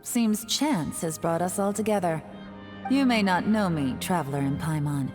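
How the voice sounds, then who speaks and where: A woman playing an enigmatic female agent in an English video game dub, composed, teasing and quietly authoritative. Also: on the low side, lively intonation, normal speed, music in the background, lightly acted. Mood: cool, knowing. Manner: composed, coy, controlled.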